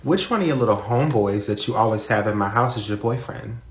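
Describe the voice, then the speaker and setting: A man's voice: lowish voice, quick pace, husky. A man playing a stern, foul-mouthed mother and grandmother in a comedy skit, scolding bluntly. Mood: outraged. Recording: roomy echo.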